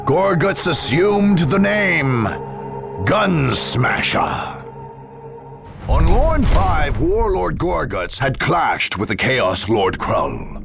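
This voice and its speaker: Guy doing big dramatic game narration, gravelly and really animated, grandiose, music behind him. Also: medium pitch. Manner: grandiose, theatrical, drawn-out emphasis. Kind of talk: dramatic scripted narration of a war story. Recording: music under the voice. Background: loud background music.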